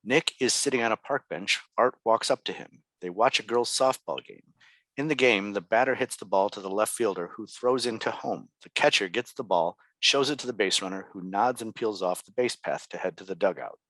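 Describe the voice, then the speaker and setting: A man's voice: lowish voice, moderate pace, a bit bright, dry recording, low-quality recording. A man narrating stage directions at a table read in a plain scripted style.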